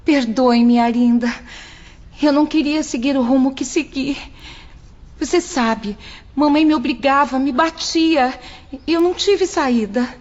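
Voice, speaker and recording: A woman's voice: high voice, measured pace, a little mellow. A remorseful young woman. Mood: earnest. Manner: pleading, earnest. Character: remorseful young woman seeking a new life. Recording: dull lo-fi audio.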